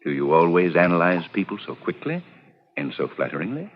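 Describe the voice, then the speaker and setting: An actor playing a suave, sinister, jealous scientist in a 1940s radio drama, with a silky, insinuating, theatrical delivery. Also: male voice, sits low, sing-song, fairly quick. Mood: sly, insinuating. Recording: muffled, slightly noisy old radio recording.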